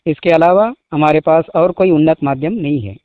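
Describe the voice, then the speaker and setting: A man reading out a formal statement as a spokesman, in measured short phrases, over a phone call. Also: a little high, no echo. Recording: muffled phone-line call recording.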